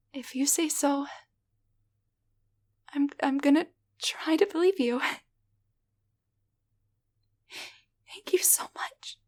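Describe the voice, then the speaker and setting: A woman voicing a timid, grateful tiny enchanted doll in an intimate roleplay audio drama, speaking softly, gently and haltingly with a breathy voice. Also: high voice. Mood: tender, grateful. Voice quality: soft, breathy.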